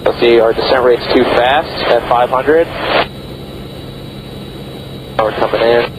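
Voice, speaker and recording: A man's voice: medium pitch, moderate pace. A man giving flight instruction from a cockpit, patiently coaching a pilot step by step with encouragement. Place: small aircraft cockpit in flight. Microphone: aviation headset intercom. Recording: dull intercom audio, loud aircraft engine noise.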